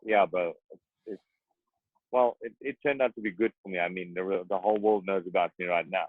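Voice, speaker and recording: A boxer, talking earnestly and emphatically in an interview, often repeating himself. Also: male voice, low voice, fairly quick, no room sound. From South Africa. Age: 30s. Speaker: boxer guest. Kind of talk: interview conversation. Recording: tinny phone-like dry sound.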